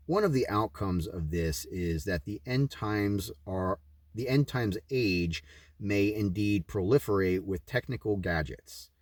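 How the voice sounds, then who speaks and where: A man with a very low voice reads a religious book aloud as scripted narration. He sits well back from the microphone, and the room adds a noticeable echo around his words. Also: studio quality. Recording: roomy echo, distant from the mic.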